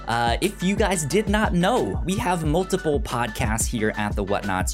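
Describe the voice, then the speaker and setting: A man hosting a casual podcast, chatting and reading announcements, sometimes tripping over and repeating words. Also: mid-range voice. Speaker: podcast host. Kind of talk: casual podcast interview and sponsor read.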